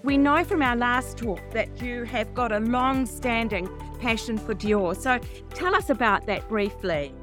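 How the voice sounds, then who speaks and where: A woman's voice: a little high, measured pace, over music. A woman hosting a book podcast, presenting and interviewing authors. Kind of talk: podcast interviewing and scripted introductions.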